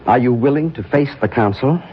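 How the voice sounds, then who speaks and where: An actor in a 1970s radio drama playing an earnest diagnostician, questioning in a measured, insistent way. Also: male voice, medium pitch, animated, close to an everyday voice. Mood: urging. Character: probing, earnest male diagnostician and confidant. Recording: dull, slightly hissy vintage radio recording.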